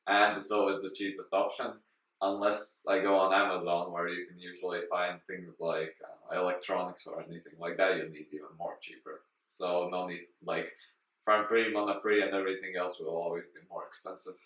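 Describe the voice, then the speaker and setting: Deep-voiced young man, heard from afar. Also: level tone, brisk, a bit bright. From Latvia. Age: young adult. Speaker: student interviewee. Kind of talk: casual interview answers. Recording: roomy, distant, dull-sounding.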